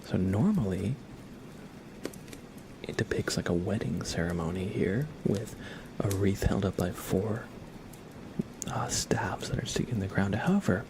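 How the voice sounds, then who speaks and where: A soft-spoken man whispering gently and politely in a casual ASMR livestream, chatting with viewers and reading cards. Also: low-pitched, expressive, measured pace, pauses often, background noise.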